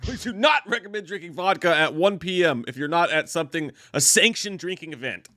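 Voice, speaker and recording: A slightly hoarse man co-hosting a livestream, reading out viewer comments and riffing playfully in casual, joking chat. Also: on the high side, lively intonation, brisk, pushed and bright, no echo, clean studio sound. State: hoarse after drinking.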